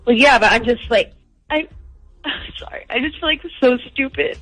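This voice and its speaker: A woman caller with a high, bright, tense voice speaks with raw indignation and upset. Faint music runs behind her. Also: normal speed, no echo, rough recording. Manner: emotional, indignant. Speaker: caller, wife.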